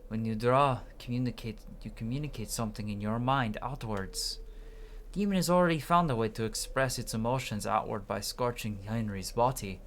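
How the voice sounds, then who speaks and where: A mid-pitched voice reads video game dialogue aloud as a narrator voicing the characters. The delivery is halting, with stumbles and restarts, and there is some noise behind it.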